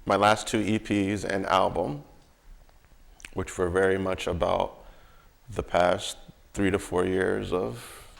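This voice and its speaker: A man speaking reflectively and haltingly in conversation, choosing words deliberately as a musician and creator. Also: low voice, gravelly. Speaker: musician and audio drama creator.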